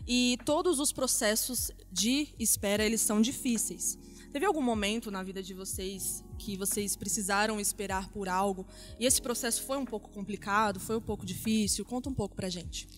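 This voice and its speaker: A woman's voice: medium pitch, normal speed, soft background music. Friendly woman hosting a podcast. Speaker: podcast host. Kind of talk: casual church podcast hosting.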